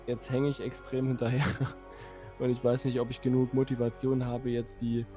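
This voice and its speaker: A man hosts a live stream in loose, casual talk. His voice sits at a middling pitch, with music playing behind him. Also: completely flat delivery, moderate pace, mellow.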